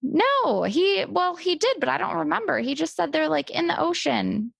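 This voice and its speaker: High-pitched, creaky-voiced woman, casual host. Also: sing-song, normal speed, dry recording, studio quality. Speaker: podcast host. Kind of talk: casual chat, light improv.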